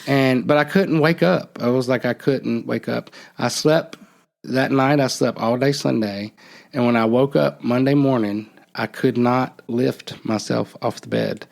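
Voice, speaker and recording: Gravelly man, reflective. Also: mid-range voice. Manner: halting, reflective, trailing off. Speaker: podcast host. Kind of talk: personal storytelling conversation.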